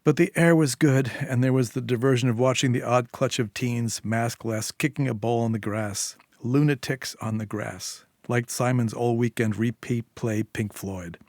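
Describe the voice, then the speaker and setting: Male storyteller for dramatic short fiction, middle pitch, dry close sound. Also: steady tone, normal speed, few pauses, darkish tone, dry recording. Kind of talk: scripted narration, dramatic short story reading.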